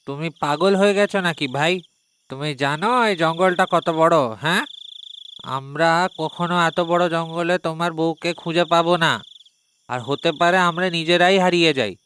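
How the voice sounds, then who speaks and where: Man voicing reproachful villager, cartoon, highish pitch, sharp forceful tone, argumentative, background noise. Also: fairly even intonation, moderate pace, natural voice. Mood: sceptical. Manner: scolding, argumentative. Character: sceptical, reproachful male villager, reluctant to help. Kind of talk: cartoon character acting.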